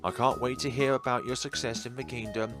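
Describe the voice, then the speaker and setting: A man's voice: medium pitch, steady tone, brisk, lightly acted. A man voicing an affectionate sister through a tender letter read aloud in a visual novel. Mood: tender, proud. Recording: music playing behind the voice.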